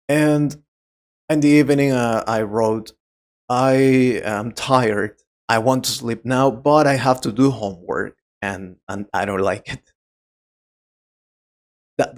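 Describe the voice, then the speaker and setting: A middle-aged man who speaks English as a second language, teaching learners on a podcast in short, simple, deliberate sentences. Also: mid-range voice. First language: not English (English is second language). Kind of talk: slow educational podcast talk to learners.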